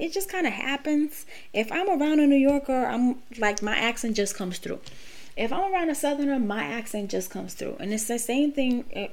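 A woman's voice: medium pitch, darkish tone. Candid, profane woman in her late thirties. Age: late 30s. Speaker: podcast host. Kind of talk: personal monologue.

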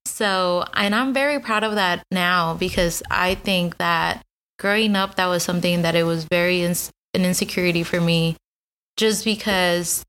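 A woman from Austin, Texas, speaks with a Spanish accent, since Spanish was her first language. She co-hosts a podcast in a casual, earnest way, with a somewhat low voice. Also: fairly bright tone. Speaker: podcast co-host. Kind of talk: casual chat.

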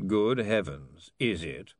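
A man voicing a baffled, testy lord who talks in a slow, pompous, bewildered way in an audiobook. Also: on the low side, expressive, no room sound, clean studio sound. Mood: blustering. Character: baffled, testy, blustering lord, easily swayed.

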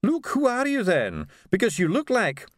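A man's voice: high voice, huge pitch swings, normal speed, fairly bright tone, no echo, clean studio sound, pitched above the performer's own voice, full character voice. A man voicing a startled, flustered middle-aged male doctor.

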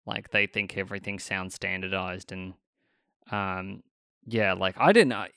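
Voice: deep voice, unhurried, creaky, fairly bright tone, clear, resonant tone, no echo, clean studio sound. An interviewer asking long, rambling questions padded with fillers. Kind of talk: casual interview chat.

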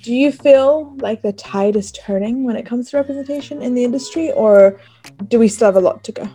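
A woman's voice: on the high side, a little fast, mellow. A woman interviewing a musician, affirming her often and asking long questions. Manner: affirming, repeats "beautiful".

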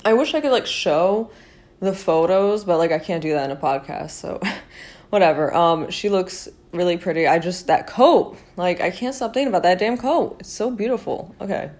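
A woman's voice: lowish voice, moderate pace, darkish tone. A young woman hosting a podcast, chatting casually about fashion with a congested, nasal voice and lots of fillers. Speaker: podcast host.

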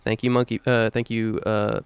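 A man's voice: fairly low pitch, level tone, normal speed, clean tone. A man co-hosting a podcast, talking casually and off the cuff about video games. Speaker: podcast co-host. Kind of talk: casual unscripted video game discussion.

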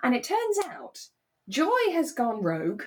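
British woman in relaxed, chatty conversation. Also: sits high, a little slow. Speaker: podcast co-host. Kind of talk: casual chat recapping a radio drama.

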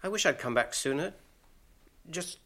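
Young hobbit lad, british, radio drama, earnest and hesitant, bright voice, really animated pitch. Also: male voice, normal speed. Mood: regretful. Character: younger male hobbit, earnest, gentle, questioning.

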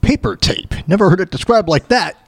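A man's voice: sits high, animated, a little fast, vocal fry, soft background music. A man chatting casually as a podcast host, rambling and repeating his phrases as he reacts. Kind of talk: casual chat, reacting to a video.

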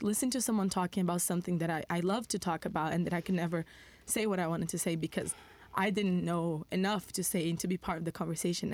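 A young woman from Brazil whose first language is Portuguese, speaking reflectively in a podcast conversation with many pauses and fillers. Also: lowish voice, darkish tone.

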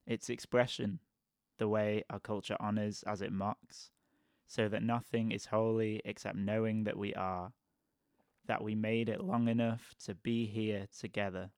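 A man reading his own poetry aloud slowly and evenly, then chatting as a podcast guest in a measured, even tone. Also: on the low side, no echo, studio quality. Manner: slow, measured, even delivery. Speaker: guest poet.

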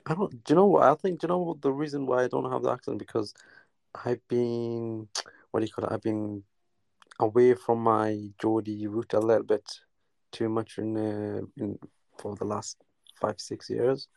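A man from Newcastle, England, a guest caller chatting casually, talking haltingly with many restarts and fillers. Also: mid-range voice, level tone, vocal fry, darkish tone, no room sound. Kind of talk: casual chat.

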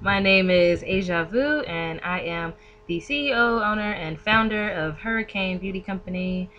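A woman's voice: mid-range voice, normal speed, muffled recording, a little hiss or hum. A woman podcast guest chatting casually and informally, thinking aloud as she goes. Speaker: podcast guest, beauty business owner. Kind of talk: casual conversational chat.

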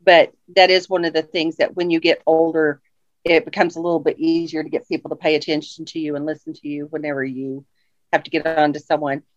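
A woman's voice: low-pitched, flat delivery, fairly quick, no echo. A middle-aged woman with a commanding manner, giving advice in a casual interview chat, on a dull lo-fi recording.

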